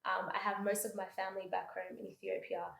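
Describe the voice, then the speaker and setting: A woman's voice: mid-range voice, completely flat delivery, fast talker, vocal fry, fairly bright tone. A young Australian woman in her twenties talks openly and expressively, working out her thoughts aloud with plenty of fillers and restarts. Her voice sits in an ordinary mid range. The room gives her voice a noticeable echo. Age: 20s. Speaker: podcast guest. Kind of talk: casual chat.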